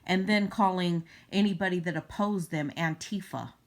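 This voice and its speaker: A woman vlogger giving unscripted political commentary in a halting, emphatic way. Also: fairly low pitch.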